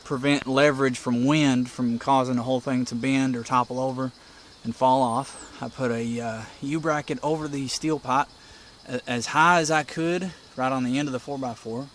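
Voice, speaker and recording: A man's voice: mid-range voice, fairly even intonation, normal speed. A man giving a casual, step-by-step walkthrough in a plainspoken, folksy way, recorded outdoors. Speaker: hobbyist presenter. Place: outdoors beside a house porch. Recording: outdoor recording with clear insect and bird noise. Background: insects, birds, outdoor ambience.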